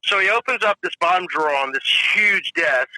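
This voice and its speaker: A man's voice: high-pitched, animated, brisk, sharp tone, no echo, low-quality recording. A man in his forties, a drummer guest telling rambling stories in a casual interview, full of "you know" and "if you will". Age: 40s.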